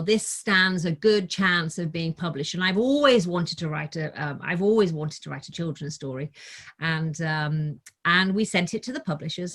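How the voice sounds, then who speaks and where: Middle-aged woman telling a children's story. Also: lowish voice. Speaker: guest author reading her children's book. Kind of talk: casual storytelling, then read-aloud of a picture book.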